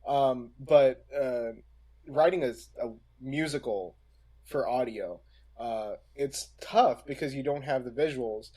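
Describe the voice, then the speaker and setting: A man with a medium-pitched voice speaks as a co-host in a casual podcast discussion. He is deliberate and halting, often pausing partway through a sentence before carrying on. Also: mellow, breathy edge. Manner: halting, deliberate, many pauses mid-sentence.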